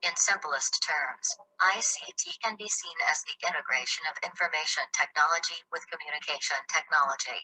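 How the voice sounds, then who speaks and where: Woman narrator, explainer video, low voice, very bright sharp tone, even delivery. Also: moderate pace, hardly any pauses, slight background noise, rough recording. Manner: even, reading out definitions.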